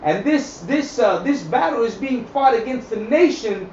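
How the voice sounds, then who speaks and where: A man with a high voice teaches scripture and history off the cuff. He is emphatic, repeats his phrases and keeps checking in with listeners. The mic sounds far away in an echoing space. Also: animated, measured pace, a little mellow. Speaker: teacher of a religious group. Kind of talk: improvised scripture and history lesson. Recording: echoey, distant mic, dull, faint noise.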